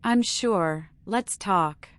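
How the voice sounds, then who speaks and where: An actress playing a composed, investigative writer in a noir video game, assured and matter-of-fact. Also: female voice, mid-range voice, extremely slow. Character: female animal writer, composed, investigative, businesslike.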